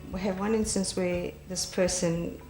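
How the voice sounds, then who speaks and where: A woman's voice: lowish voice, fairly even intonation, measured pace, a little mellow, echoey room, soft background music. A middle-aged woman from Africa, telling a chatty anecdote. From South Africa. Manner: chatty, laughs while telling. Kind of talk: casual anecdote. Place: studio, plain backdrop.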